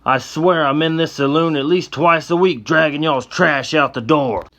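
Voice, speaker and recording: A man voicing a crooked, blustering old-West sheriff who barks his words loudly and with scorn. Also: on the high side, normal speed, pitched above the performer's own voice. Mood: scornful. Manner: loud, gruff, scornful barking.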